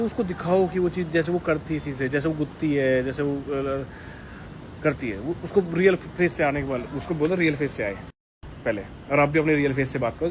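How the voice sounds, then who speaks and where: Aggrieved young man, noisy recording. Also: fairly high pitch. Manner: aggrieved, repetitive, insistent. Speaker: vlogger. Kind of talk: unscripted personal address to viewers.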